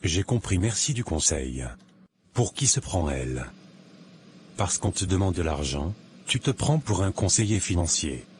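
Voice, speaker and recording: A man playing a vain, broke young office worker who lives beyond his means in an animated moral tale. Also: low-pitched, unhurried, noisy background. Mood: resentful.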